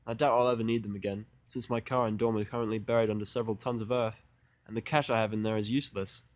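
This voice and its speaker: A man's voice: lowish voice, fairly even intonation, quick pace, no room sound. A man reading scripted first-person fan fiction as the narrator of an audio drama.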